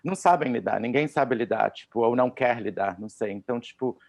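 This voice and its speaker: A man's voice: medium pitch, fairly quick, gravelly, no echo, studio quality. A man with a Brazilian Portuguese accent chatting casually on a video call, hesitant and full of fillers. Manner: hesitant, filler-heavy, says "tipo" often. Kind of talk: casual conversation.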